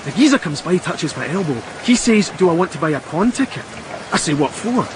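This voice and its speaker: High, animated male informant in street noise. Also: fairly quick. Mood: confiding, eager. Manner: slangy, anecdotal. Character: chatty streetwise male police informant haggling. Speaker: actor. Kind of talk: radio crime drama acting. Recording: noisy rainy street sound effects.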